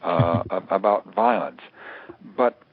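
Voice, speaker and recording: An older American man giving considered, analytical interview answers in a halting, deliberate way, repeating words for emphasis. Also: low-pitched, no room sound. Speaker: interview guest, author and security analyst. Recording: narrow tinny phone line.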